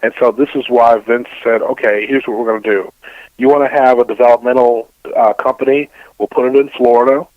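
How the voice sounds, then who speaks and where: A man's voice: medium pitch, steady tone, a little fast, no room sound. Middle-aged man on a dull, dry line. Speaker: sports radio host, interview guest. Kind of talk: casual interview answers, reflective opinion.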